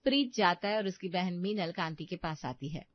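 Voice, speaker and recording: A woman's voice: fairly low pitch, expressive, normal speed, lo-fi sound. A woman narrating a scripted family story as a storyteller.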